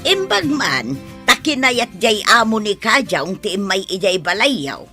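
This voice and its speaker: A woman playing a lively, forceful family relative in a radio drama. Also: mid-range voice, a little slow, soft background music, exaggerated voice. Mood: excited.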